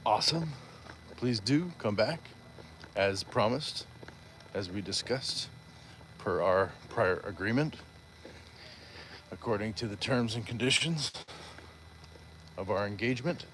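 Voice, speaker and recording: A man's voice: fairly low pitch, lively intonation, slow, pauses often, a bit bright, a little hiss or hum. A man hosting a live stream while walking outdoors, chatting casually and reading viewer comments aloud with playful asides. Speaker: livestream host.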